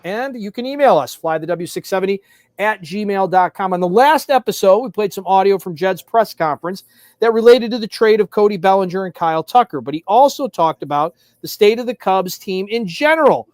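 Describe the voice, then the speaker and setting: A high-pitched man hosts a sports podcast. He speaks conversationally and with animation, often repeating phrases for emphasis, in a very dry-sounding recording. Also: expressive, brisk, a little mellow, dry recording.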